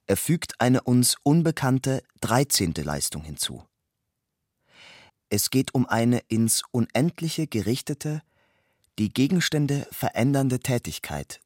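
A man narrating a literary text in measured, deliberate phrases, moving between storytelling and quotation. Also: medium pitch, no echo, studio quality.

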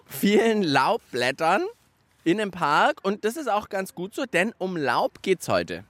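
A man's voice: sits high, big pitch swings, unhurried, a bit bright, no echo. A man hosting a children's radio show outdoors in a park, playful and enthusiastic. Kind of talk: lively kids' show presenting.

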